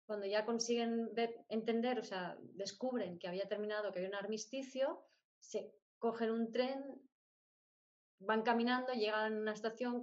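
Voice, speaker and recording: A middle-aged woman telling personal stories as an interview guest, in short phrases that she often repeats for emphasis. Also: mid-range voice. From born in Spain, grew up moving between countries. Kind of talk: personal storytelling in conversation. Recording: dull, lo-fi audio.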